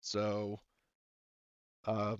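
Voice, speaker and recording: A man narrating a tabletop game as game master, improvising in a halting, hesitant, rambling way. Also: lowish voice, completely flat delivery, clear, resonant tone, no echo, muffled recording.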